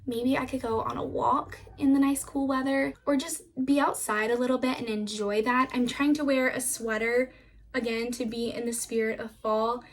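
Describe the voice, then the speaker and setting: A young woman vlogging casually at home, her voice a little raspy and froggy, rambling and relaxed with lots of "like.". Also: on the high side, normal speed. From Florida. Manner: relaxed, rambling, uses "like" often. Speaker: vlogger, stay-at-home mom. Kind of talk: casual chatty vlog narration.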